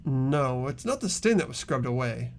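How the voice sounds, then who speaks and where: A man's voice: medium pitch, very animated, normal speed. A man playing an earnest teenage boy who reasons aloud tentatively in an amateur game dub. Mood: earnest, thoughtful.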